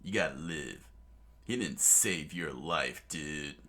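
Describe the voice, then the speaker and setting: A man's voice: extremely low pitch, lively intonation, slow, bright, forceful tone, pitched below the performer's own voice, heavily acted. A man voicing a laid-back young pirate captain with a drawling surfer-dude manner. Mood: lecturing. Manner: drawling, says dude a lot.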